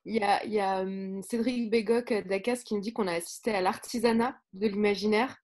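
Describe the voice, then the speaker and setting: A woman's voice: medium pitch, fairly even intonation, brisk, a little mellow. A woman with a mid-range voice moderates a live event. She speaks conversationally as she addresses guests and viewers. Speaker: event moderator relaying questions.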